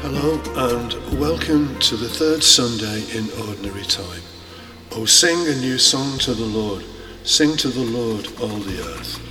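A man, a deacon hosting and giving a homily on a podcast. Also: medium pitch. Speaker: permanent deacon, podcast host.

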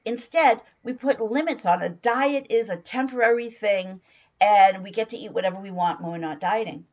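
Hoarse woman, middle-aged, motivational diet coach, emphatic with repetition, slightly muffled sound. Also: medium pitch, moderate pace, darkish tone. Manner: emphatic, repeats phrases for stress. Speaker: weight-loss coach. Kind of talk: motivational talk.

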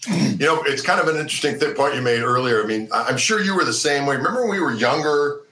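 A middle-aged man, a musician, chatting casually and telling stories with halting restarts. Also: mid-range voice, expressive, bright, forceful tone, reverberant, low-quality recording. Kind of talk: casual chat.

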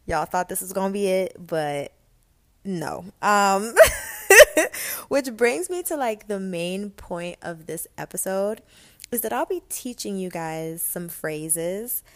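A woman's voice: medium pitch, animated, slow pace, fairly bright tone. A young woman from Guyana with a mostly American-sounding accent, hosting a casual solo podcast, chatty and laughing at herself. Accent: light American, Guyanese traces. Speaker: podcast host.

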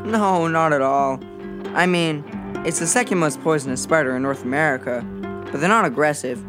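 A woman playing a bookish, calm schoolboy in a children's audio drama, earnest and keen to explain things. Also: low-pitched, moderate pace, music in the background, lightly acted. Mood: calmly explanatory. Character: bookish, calm, science-loving school-age boy.

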